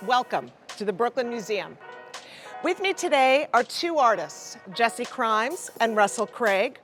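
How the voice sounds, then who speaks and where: A woman's voice: a little high, big pitch swings, measured pace, fairly bright tone, soft background music. A woman hosting a talk show, presenting in a scripted, formal introductory style. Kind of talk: scripted introduction of panel guests.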